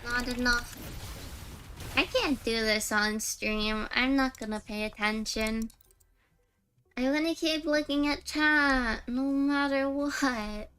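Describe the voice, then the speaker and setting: A woman's voice: a little high, slow pace, sharp tone, clear, resonant tone. A woman hosting a livestream, chatting casually as she plays a game. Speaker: livestream host. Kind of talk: casual game-stream chat. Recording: game music behind the voice. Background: game music and character voices.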